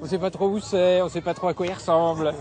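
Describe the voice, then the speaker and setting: French travel presenter, curious and joking, slightly high voice, loud crowd and music. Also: male voice, steady tone, quick pace. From France. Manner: curious, playful, laughing. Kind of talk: casual on-location interview. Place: outdoor harbour festival.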